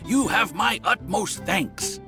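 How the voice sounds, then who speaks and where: A man's voice: high-pitched, lively intonation, takes it slowly, heavily acted. A man voicing a genial, eagerly polite landlord in a video game, with an effusive and formal manner. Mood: grateful. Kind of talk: video game character acting. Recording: voice under prominent background music.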